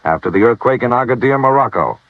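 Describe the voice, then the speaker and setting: Animated vintage radio announcer man, dry sound. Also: mid-range voice, no room sound, narrow, tinny audio. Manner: measured, solemn, formal. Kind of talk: 1950s radio announcing, scripted public-service message.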